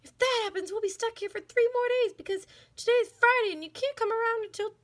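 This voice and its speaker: A woman voicing a cautious, bossy young girl doll in a storybook, scolding in an urgent, hushed way. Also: extremely high pitch, fast, pitched above the performer's own voice. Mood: urgent warning.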